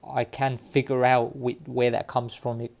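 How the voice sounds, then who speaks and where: A man from Cyprus talking casually to his viewers, halting often with fillers and restarts. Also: mid-range voice, level tone, a little mellow. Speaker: vlogger sharing DNA results. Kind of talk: casual talk to camera. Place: London.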